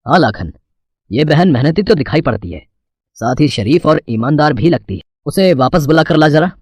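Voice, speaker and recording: A man's voice: fairly high pitch, lively intonation, moderate pace, darkish tone, no room sound. Man acting a kindly shop owner, close dry sound. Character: fair, kindly male sweet-shop owner.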